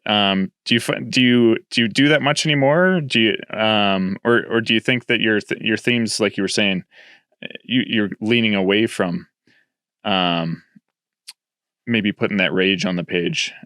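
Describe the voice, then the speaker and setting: A man's voice: fairly low pitch, unhurried, fairly bright tone, clear, resonant tone, no room sound, studio quality. A man in his late thirties hosting a podcast, asking long, winding questions full of restarts. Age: 30s. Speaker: podcast host. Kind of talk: casual podcast interview.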